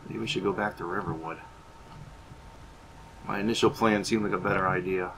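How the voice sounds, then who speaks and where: A man's voice: low-pitched, steady tone, fairly quick, a bit bright, a little hiss or hum, rough recording. A man giving casual, half in-character roleplay commentary over a video game, moving between muttered asides and theatrical remarks. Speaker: gaming video host.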